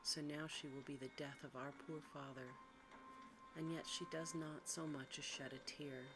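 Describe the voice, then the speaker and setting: The narrator voices a spiteful, envious elder sister in a hushed ASMR storybook reading, scornful and accusing in old-fashioned speech. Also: mid-range voice, level tone, moderate pace, music in the background, close to an everyday voice, poor audio.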